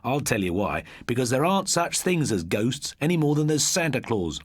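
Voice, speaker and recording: A brash schoolboy sceptic, played by a male narrator, cheerful and scoffing. The voice sits higher and slightly husky, with a mocking edge suited to a children's storybook. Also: very animated, moderate pace, dry recording, clean studio sound. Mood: scornful.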